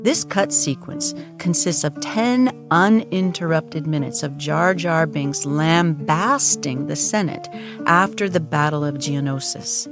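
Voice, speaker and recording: Woman doing dramatic doc style narration, deep for a woman, creaky, serious and measured, music under it. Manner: solemn, measured, documentary-like delivery. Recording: clear music behind.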